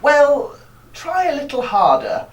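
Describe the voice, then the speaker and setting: A man performs a flustered, devoted young British sleuth's companion in a radio drama. His pitch moves around a great deal as he hesitates and exclaims in old-fashioned turns of phrase. The sound is distant and reverberant, like a hall. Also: huge pitch swings, slow, exaggerated voice. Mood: anxious, earnest. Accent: British English. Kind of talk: live radio play acting. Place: live stage performance recorded from the audience area. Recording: echoey hall recorded from far off.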